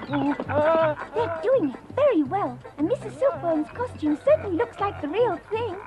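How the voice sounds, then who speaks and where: A woman voicing a lively young girl bee in a cartoon, who talks eagerly and urges others on. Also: extremely high pitch, over loud music. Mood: surprised. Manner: eager, encouraging, quick. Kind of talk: cartoon dub acting.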